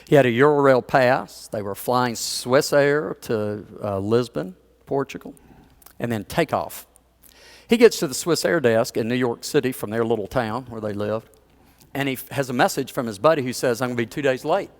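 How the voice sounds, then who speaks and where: Male pastor, conversational storyteller in the pulpit, chuckling asides, mid-pitched voice. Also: moderate pace. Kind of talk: sermon.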